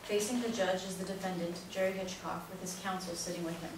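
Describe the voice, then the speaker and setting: Low-voiced woman narrator in an echoey room. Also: flat delivery, fast. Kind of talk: reading stage directions, scripted narration. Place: echoey indoor room, far from mic. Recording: very echoey room, distant mic, noisy.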